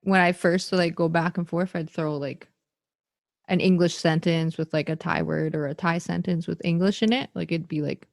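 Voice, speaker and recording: A woman's voice: fairly low pitch, fairly even intonation, moderate pace, creaky, no room sound, clean studio sound. A woman hosting a podcast interview in a casual, rambling way, full of filler words. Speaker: podcast host.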